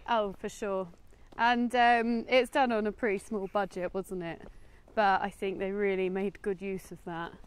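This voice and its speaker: A woman's voice: mid-range voice, steady tone, measured pace, few pauses, smooth. A young British woman co-hosting a film vlog, chatting in an informal, understated way while walking outdoors. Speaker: co-host of a film discussion vlog. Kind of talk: casual chat while walking. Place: outdoors, walking in woods and a golf course.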